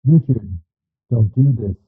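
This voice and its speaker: A man's voice: mid-range voice, slow pace, husky, phone-quality sound, soft background music. A man voicing urgent spaceship crewmates pleading over a radio comm link in an audio drama. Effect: radio comm filter.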